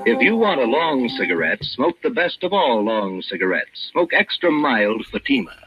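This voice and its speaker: A man reading a 1950s radio commercial as an emphatic, polished pitchman, on an old radio recording. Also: big pitch swings, normal speed, highs cut off, over loud music. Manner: emphatic, polished salesman delivery. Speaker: commercial announcer.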